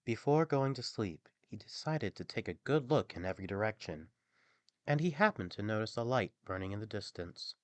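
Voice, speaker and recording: Male storyteller, mid-pitched, lively intonation, fairy-tale narration, close dry mic. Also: moderate pace, dry recording.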